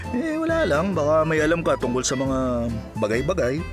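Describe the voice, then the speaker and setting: A man acts out an easygoing Filipino police captain in an audio drama. His voice sits a little high and swings with lively, folksy teasing. Music plays behind him. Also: normal speed, lightly acted. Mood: teasing, resisting. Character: charming, easygoing married policeman captain, playful but principled. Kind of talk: Filipino radio-play style drama acting.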